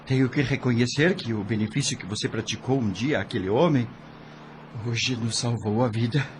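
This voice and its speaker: A man's voice: mid-range voice, moderate pace, noisy background, lightly acted. An actor playing a sceptical man humbled into earnest respect for his friend, in an audio drama. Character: shaken male sceptic, humbled and conceding to friend.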